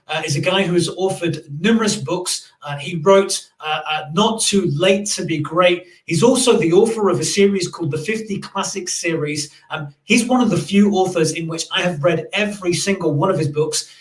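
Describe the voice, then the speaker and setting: A man hosts a live-streamed interview in a loose, chatty way, full of "uh"s and restarts. His voice sits high for a man. The room around him rings with echo. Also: normal speed, few pauses. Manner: chatty, many fillers and restarts. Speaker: podcast host.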